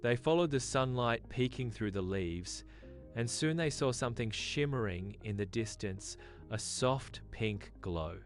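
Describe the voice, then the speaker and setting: A woman's voice: a bass voice, lively intonation, measured pace, soft background music. A woman narrating a scripted bedtime story for children.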